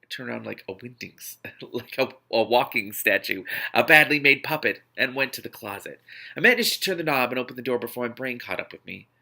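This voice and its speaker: A man's voice: medium pitch, expressive, a little fast, vocal fry, sharp tone. A man reading a novel aloud expressively, often stumbling and breaking off to react. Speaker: book reader, reaction host.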